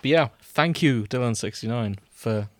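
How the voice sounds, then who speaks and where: A man hosts a casual podcast. His voice sits at an ordinary male pitch. The sound is dry, with very little room in it. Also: normal speed, no room sound. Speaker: podcast host.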